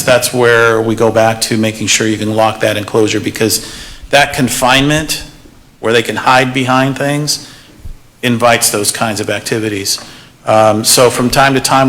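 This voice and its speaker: A man's voice: fairly low pitch, a bit bright, a little hiss or hum. A man representing a waste hauler, answering questions at a public workshop in a hesitant way with many fillers. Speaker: waste hauling company representative.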